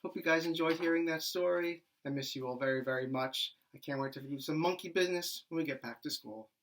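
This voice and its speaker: A man reading a children's storybook aloud like a primary school teacher, animated and with dramatic emphasis. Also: on the high side, brisk.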